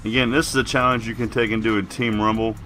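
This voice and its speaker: A man's voice: lowish voice, flat delivery, fast, sharp tone, soft background music. A man giving casual, plain-spoken game walkthrough commentary as a video host while getting over a cold.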